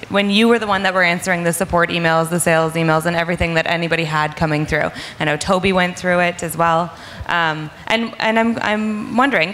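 A woman's voice: on the low side, a bit bright, slight background noise. Woman moderating a stage panel. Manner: friendly, casual with fillers. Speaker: support director, panel moderator. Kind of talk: conference stage conversation. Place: conference stage, seated in armchair before audience. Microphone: handheld microphone.

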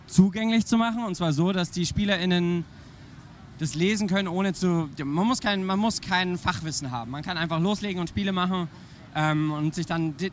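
A man's voice: high voice, a little hiss or hum. A man, an indie game developer, answering interview questions in a playful, jokey way while tired from a long fair. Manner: playful, jokey, thinks aloud. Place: busy indoor trade-fair hall.

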